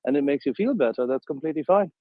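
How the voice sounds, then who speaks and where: A man based in northeastern Europe speaking in a measured, probing way with hesitant fillers. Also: fairly high pitch, expressive, dry recording. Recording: tinny phone-like line, dry.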